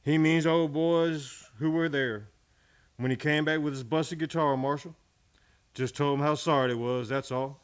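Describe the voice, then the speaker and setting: A man's voice: a little high, fairly even intonation, unhurried, clear, resonant tone, lightly acted. Man playing an evasive, folksy frontier barkeeper. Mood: evasive, defensive. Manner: folksy, defensive. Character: evasive, folksy Old West barkeeper covering for a friend. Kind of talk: western radio play acting.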